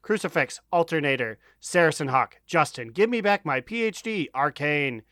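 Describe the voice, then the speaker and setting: A man with a fairly high, very smooth voice hosts a casual podcast. He is jokey and sweary, and the sound is dry and close. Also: animated, moderate pace, bright, forceful tone, no room sound. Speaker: podcast host. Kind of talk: casual chat.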